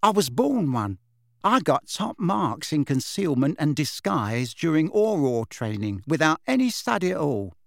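A man's voice: fairly high pitch, very animated, a little slow, creaky, no room sound, clean studio sound, voice pushed up, full character voice. A man voicing a cheeky, clumsy young witch in an audiobook, with a chatty, blunt, colloquial manner. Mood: breezy, frank. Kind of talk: audiobook character voice.

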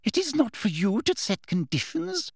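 Man playing a domineering woman official, high-pitched. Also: wildly swooping pitch, a little slow, a little mellow, breathy edge, no echo, clean studio sound, heavily acted. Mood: harsh, imperious. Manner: imperious, harsh, eager interrogation. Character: domineering, greedy older woman official, falsely sweet and sharp.

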